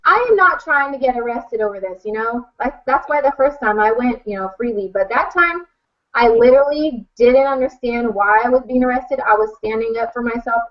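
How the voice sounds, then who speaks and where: A woman's voice: a little high, moderate pace. A woman speaking emphatically and frankly as a podcast guest, giving a casual personal account. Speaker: animal rescue advocate, podcast guest. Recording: dull lo-fi podcast recording.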